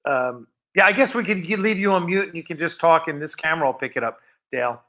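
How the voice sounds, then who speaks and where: A man's voice: a little high, quick pace, pushed and bright. A man hosting a casual livestream, chatting in a rambling, informal way. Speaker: host of a fan production livestream. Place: film set of a starship bridge.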